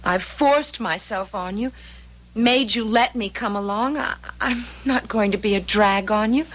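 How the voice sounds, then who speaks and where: An actress playing an anxious, conscience-stricken young wife in an old-time radio drama, speaking with earnest, emotional emphasis. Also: female voice, mid-range voice, a little slow, close to an everyday voice, low-quality recording. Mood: fearful.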